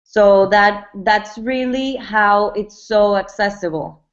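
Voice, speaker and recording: A woman's voice: mid-range voice, steady tone, takes it slowly. A woman from Venezuela who co-founded a yoga brand, answering interview questions in a casual way and often repeating and restarting her words. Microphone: webinar call mic. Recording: dull lo-fi webinar audio.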